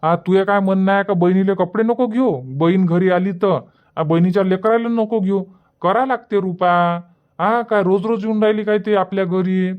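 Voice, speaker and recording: Guy doing a high pitched cartoon husband, defensive and arguing, varhadi marathi accent, bit lo-fi. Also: normal speed, lightly acted. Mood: defensive, reproachful. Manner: argumentative, reproachful. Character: defensive, indignant village husband. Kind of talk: colloquial cartoon comedy dialogue.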